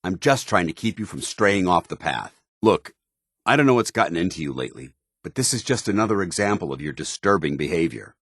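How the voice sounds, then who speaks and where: A stern video game paladin, with a very low, husky voice that moves expressively. He is zealous and loyal, speaking with clipped military formality in a close, dry recording. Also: animated, fairly quick, fairly bright tone, no echo, full character voice. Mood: earnest, stern. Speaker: companion soldier character.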